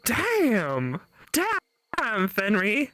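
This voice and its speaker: A woman's voice: medium pitch, huge pitch swings, extremely slow, background noise. A woman co-playing and voicing a game, chatting casually with teasing mock exasperation and some swearing. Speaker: guest co-player and voice actor. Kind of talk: casual joking game chat.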